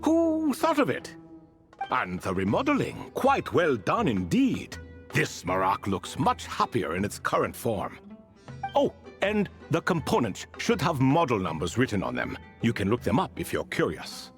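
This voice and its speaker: Pompous, talkative talking cat, theatrical swooping voice. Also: male voice, medium pitch, wildly swooping pitch, measured pace, soft background music. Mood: musing, rambling. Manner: formal, pompous, loquacious. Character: talkative, proud, courtly ancient talking male cat. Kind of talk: video game character acting.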